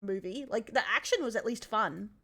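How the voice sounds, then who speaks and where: A woman's voice: mid-range voice, lively intonation, normal speed, fairly bright tone, slightly rough. An Australian woman streamer giving a casual, rambling, self-deprecating reaction as she chats to her viewers. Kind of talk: casual livestream reaction chat.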